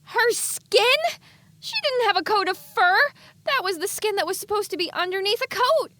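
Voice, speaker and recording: A woman's voice: extremely high pitch, moderate pace, bright, forceful tone, a little hiss or hum, voice pushed up. A woman voicing a cute, naive young pony in an audio drama, with an earnest, flustered manner. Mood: shocked. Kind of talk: audio drama character acting.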